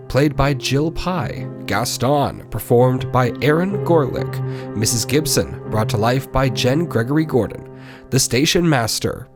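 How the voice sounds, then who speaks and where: A man, the show's director, reading out the cast credits in a steady listing manner. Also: medium pitch. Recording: music bed underneath.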